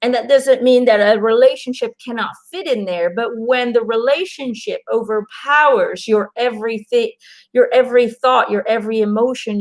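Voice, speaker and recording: A Belgian woman whose first language is Dutch, doing a casual, earnest card reading for viewers and encouraging them with plenty of fillers and restarts. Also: a little high. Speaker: intuitive card reader.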